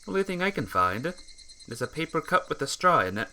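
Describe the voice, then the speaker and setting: A playthrough host reading a visual novel aloud as expressive, dramatic scripted narration. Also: medium pitch, brisk, a little hiss or hum, low-quality recording. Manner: dramatic reading, acts out dialogue.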